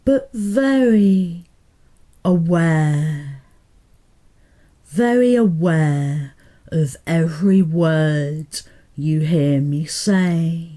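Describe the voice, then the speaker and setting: A woman hypnotherapist guiding a meditation in a soothing, deliberate manner, pausing between short phrases. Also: deep voice, dark tone. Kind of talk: guided meditation hypnotherapy narration.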